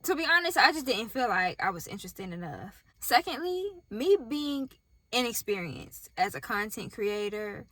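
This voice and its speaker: A woman's voice: on the high side, sing-song, normal speed, fairly bright tone, no echo. A woman with a Southern US accent talks candidly and casually to camera from her parked car, speaking straight to her viewers and filming on a phone set on a tripod. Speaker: vlogger.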